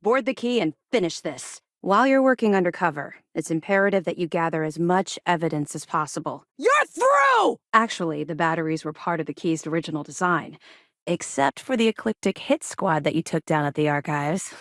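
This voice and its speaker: Low-voiced, firm woman space officer. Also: sing-song, brisk, a bit bright, no echo, studio quality. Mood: commanding, urgent. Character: tough, driven female military officer in a space game. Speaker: first mate and mission handler. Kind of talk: video game dialogue acting.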